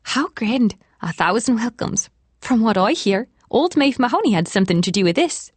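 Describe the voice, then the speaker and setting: A woman's voice: on the high side, lively intonation, moderate pace, husky, dry recording, pitched above the performer's own voice. A woman voicing a cheery, teasing young Irish barmaid with an Irish accent in an audiobook. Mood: cheery, brisk.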